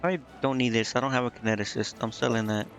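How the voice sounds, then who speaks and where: A man gives casual live gameplay commentary in a mid-pitched voice, with music playing faintly behind him. He thinks aloud as if half to himself, offhand and often second-guessing his choices. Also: steady tone, fast talker. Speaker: game streamer.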